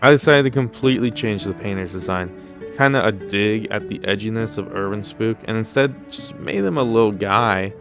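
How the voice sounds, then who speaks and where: Guy with a lowish voice riffing like a youtuber, sweary n snarky, music playing under him. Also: normal speed. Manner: mock-serious, crude, sweary. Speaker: YouTube host. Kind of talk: casual comedic commentary.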